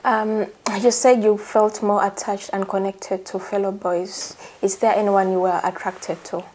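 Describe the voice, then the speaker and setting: A woman's voice: mid-range voice, little pitch movement, a little slow, darkish tone. A woman hosting a conversational interview. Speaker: host.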